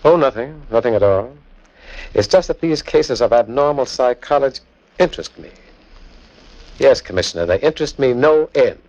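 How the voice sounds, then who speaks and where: Male sleuth, played by an actor: cultured, self-assured, deliberate, mid-pitched; old-time radio drama with muffled fidelity. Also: animated, a little mellow, slight background noise, lightly acted. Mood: probing. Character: calm cultured male amateur detective, shrewd and confident. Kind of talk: 1940s radio mystery acting. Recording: dull 1940s radio recording with music.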